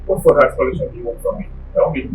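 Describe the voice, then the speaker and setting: A palace driver, played by a man, defensive and indignant as he pushes back. His voice sits at a middle pitch. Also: very fast, slight background noise, natural voice, poor audio.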